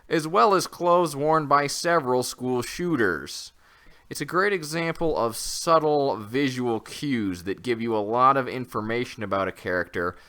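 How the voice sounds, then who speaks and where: A man's voice: mid-range voice, sharp tone. A man giving an opinionated, conversational review commentary, critical and semi-scripted. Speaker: host of a comic review channel.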